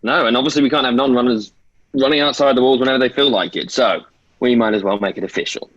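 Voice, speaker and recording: A husky-voiced man acting out script dialogue at an online table read. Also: medium pitch, fast talker, a bit bright. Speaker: actor reading a part. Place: indoor, video call. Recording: dull lo-fi video call.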